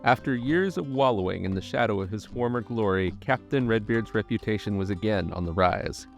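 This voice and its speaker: A man reading scripted documentary narration in a measured storytelling manner. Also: lowish voice, creaky, soft background music.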